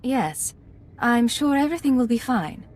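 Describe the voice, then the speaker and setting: A composed, clipped secret agent. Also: female voice, a little high, animated, normal speed, a little mellow. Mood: firm, probing. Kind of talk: dramatic game dialogue.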